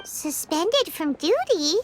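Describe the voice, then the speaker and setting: A woman voicing a tiny, blunt, excitable fairy companion with a squeaky childlike voice in a video game. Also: slow, slight background noise, heavily acted. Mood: curious. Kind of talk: animated video game voice acting. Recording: music behind the voice.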